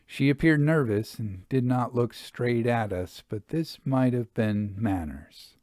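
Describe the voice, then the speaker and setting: A man narrating a Victorian ghost account as a scripted reading, in a measured, genteel manner. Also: medium pitch, a little mellow, no echo, studio quality. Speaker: narrator reading a book aloud.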